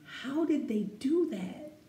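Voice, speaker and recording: A woman reads a picture book to children in an encouraging, expressive way. Her voice is mellow and her delivery slow and deliberate, leaning on key words. The room sounds echoey around her. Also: high voice. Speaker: children's story-time reader. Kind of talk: picture-book read-aloud with asides to children. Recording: echoey room with faint background noise.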